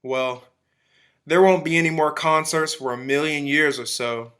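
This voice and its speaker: A man's voice: a little high, fairly even intonation, unhurried, fairly bright tone. An actor playing an embittered, zealous militiaman who survived an alien invasion, in a radio drama, speaking in emphatic, folksy, clipped phrases. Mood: scornful.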